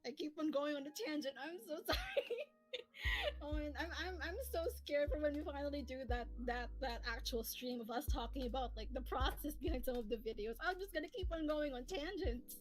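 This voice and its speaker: High-voiced, animated woman streamer. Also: fairly quick, poor audio. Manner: animated, giggly, rambling. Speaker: streamer and host who voices comic dubs. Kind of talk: casual livestream chat with friends. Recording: lofi music playing behind. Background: soft lofi background music.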